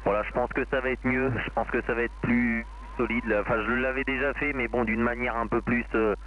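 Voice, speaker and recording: A man's voice: medium pitch, flat delivery, fairly quick. A man from France chatting casually and hesitantly as an amateur radio operator, rambling through his thoughts. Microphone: amateur radio link. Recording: dull, noisy amateur radio transmission.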